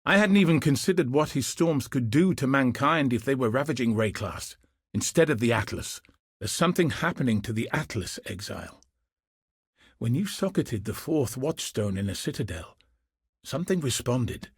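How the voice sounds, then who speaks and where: A man voicing a grim, dutiful soldier commander in a video game, speaking with husky, solemn, earnest resolve. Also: mid-range voice, expressive, moderate pace, no room sound, studio quality. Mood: concerned, grave. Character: grim, dutiful male soldier commander hunting cosmic threats. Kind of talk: scripted video game character dialogue.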